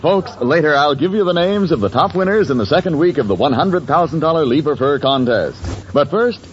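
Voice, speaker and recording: A man's voice: on the high side, animated, brisk. A male radio announcer delivering a 1940s commercial in an emphatic, salesmanlike way. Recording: dull, hissy 1940s radio recording.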